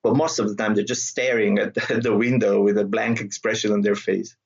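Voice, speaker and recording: A husky-voiced man with an Italian accent talks through an interview, animated and quick to laugh, often restarting his words. The audio sounds dull and a little lo-fi. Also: fairly low pitch. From southern Italy. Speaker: interview guest, comedian. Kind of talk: conversational interview.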